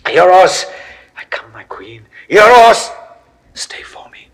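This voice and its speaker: Despairing Roman general, husky, slow, grave, bright low voice, classical verse drama. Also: male voice, very animated, exaggerated voice. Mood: grave, hushed. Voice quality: husky, lapsing into hushed whispers. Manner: grave, slow, swelling to anguished cries. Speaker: stage actor.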